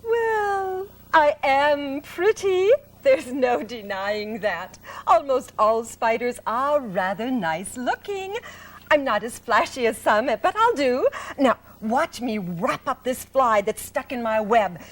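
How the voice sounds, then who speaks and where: A woman's voice: sits high, expressive, takes it slowly, exaggerated voice. A woman voicing a clever, proud and motherly female spider, talking in a matter-of-fact, slightly grand way. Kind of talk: storybook read-aloud.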